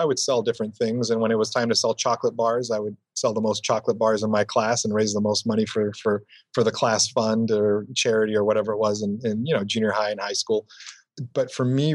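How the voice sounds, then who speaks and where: A man being interviewed about his business career, talking reflectively and filling pauses with "you know.". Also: fairly low pitch, no echo. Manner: reflective, repeats "you know" often, restarts phrases. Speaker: entrepreneur guest. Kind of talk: casual interview answers.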